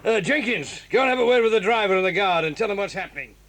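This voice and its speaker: An actor playing a brisk, friendly male police inspector who speaks in a firm, official way. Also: high voice, animated, fairly bright tone, slight background noise. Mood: genial.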